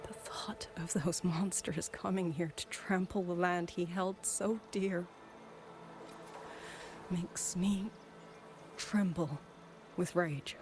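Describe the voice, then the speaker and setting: An actress playing a grieving former musician turned soldier in a video game, speaking softly and measuredly with wistful resolve, over background music. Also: female voice, fairly low pitch, steady tone, slow, long pauses, background noise. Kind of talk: dramatic video game voice acting.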